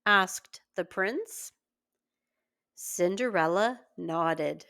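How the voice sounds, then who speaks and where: A woman reading a scripted story aloud for young children in a deliberate, expressive way. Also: fairly low pitch, vocal fry. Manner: slow, deliberate, expressive delivery. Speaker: children's story reader and teacher.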